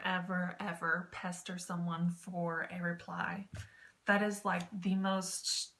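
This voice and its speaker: A woman's voice: mid-range voice, pushed and bright. A woman vlogger giving informal advice in a rambling, slightly self-conscious way. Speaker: YouTube vlogger. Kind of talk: casual advice vlog on online text roleplay.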